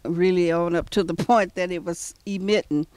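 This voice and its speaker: An older woman answering as a customer, soft-spoken and polite, pausing often with fillers, recorded outdoors on a handheld mic. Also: fairly low pitch, steady tone. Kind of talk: casual testimonial answers. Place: outdoors in front of a house. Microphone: handheld mic held by the interviewer.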